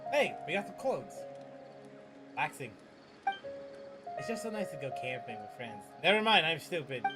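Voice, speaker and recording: A man's voice: fairly high pitch, very animated, normal speed. A man giving casual, sarcastic playthrough commentary on a game with joking asides. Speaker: gaming video host reacting to a game. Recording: game music behind the voice.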